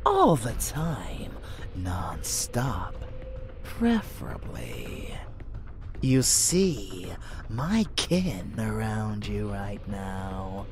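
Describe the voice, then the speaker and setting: A man playing an unhinged, swaggering, seductive vampire lover in a dark romance audio roleplay, slow and theatrical, often slipping into a gravelly whisper. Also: on the low side, noisy background, full character voice. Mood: smug, intimate.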